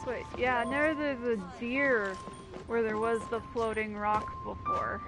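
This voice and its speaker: A woman's voice: a little high, flat delivery, unhurried. A woman giving casual gameplay commentary in short, offhand remarks. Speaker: gaming video host. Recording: loud game music behind voice. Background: video game music and effects.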